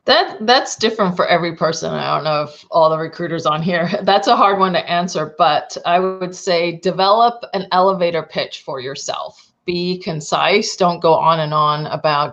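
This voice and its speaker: A woman's voice: low-pitched, normal speed, creaky, few pauses, fairly bright tone. Recruiter woman on muffled call audio. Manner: candid, practical, thinks aloud. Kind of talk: panel discussion.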